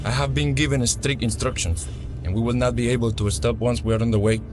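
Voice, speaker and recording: An actor playing a cautious, courteous Cuban taxi driver with a Cuban accent and formal phrasing sprinkled with Spanish, in an audio drama. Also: male voice, medium pitch, steady tone, a little fast, natural voice. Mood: insistent. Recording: music and vehicle sounds behind.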